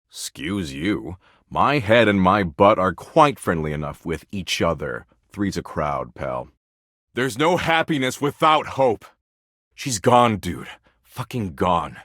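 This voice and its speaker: An actor playing a gloomy, sulky, sarcastic nihilist in a video game, grumbling in a morose deadpan. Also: male voice, lowish voice, a little slow, dry recording, clean studio sound. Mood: sulky, grudging, then despairing. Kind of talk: video game character acting.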